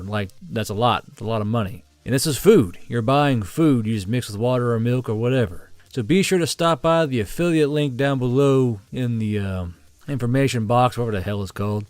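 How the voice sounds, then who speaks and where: An American man giving a casual, off-the-cuff ad pitch as a channel host, in a folksy, blunt, colloquial way. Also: darkish tone, clean tone, no room sound. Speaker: channel host reading a sponsor ad.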